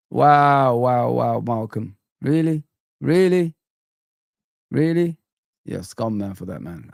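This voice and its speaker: Young male streamer, gravelly, dry close mic, laid-back rambling chat. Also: mid-range voice, no echo. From Somalia. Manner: relaxed, rambling, calls viewers bro. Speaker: live stream host. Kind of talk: casual live chat reading comments.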